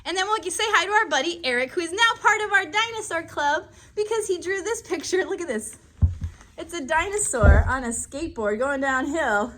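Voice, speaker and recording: Upbeat lady doing a kids live show, high bright voice, friendly n chatty. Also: animated, normal speed, a little hiss or hum. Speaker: children's puppet show host. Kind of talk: casual live children's show presenting.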